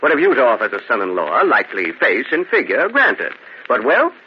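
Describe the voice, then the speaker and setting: Wildly expressive, pompous Italian braggart. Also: male voice, medium pitch, brisk, breathy edge, heavily acted. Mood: mocking, boastful. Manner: pompous, sneering, theatrical. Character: arrogant, mocking, wealthy Italian braggart, smoothly condescending. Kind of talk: old-fashioned radio drama acting. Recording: muffled, hissy old radio drama recording.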